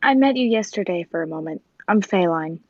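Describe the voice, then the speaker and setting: An actress playing a sly, scheming circus tiger tamer in a 1940s-style radio play, speaking in a pointed, probing way. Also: female voice, lowish voice, expressive, a little fast, a little mellow, muffled recording, a little hiss or hum, close to an everyday voice. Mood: guarded.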